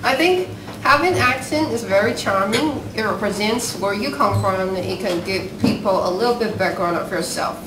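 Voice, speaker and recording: A woman co-hosting a student English-language event, presenting in a bright, playful way. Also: medium pitch, normal speed. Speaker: co-host of a student English corner. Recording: distant, echoey, noisy room.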